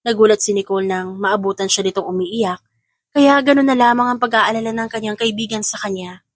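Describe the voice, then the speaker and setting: A woman's voice: mid-range voice, brisk, dry recording, clean studio sound. A woman reading scripted dramatic narration as an audiobook narrator.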